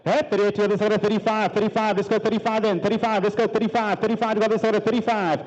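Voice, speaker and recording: A man's voice: sits high, flat delivery, very fast, darkish tone, lo-fi sound. A man working as a livestock auctioneer, calling bids in a rhythmic, repetitive chant. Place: livestock sale ring.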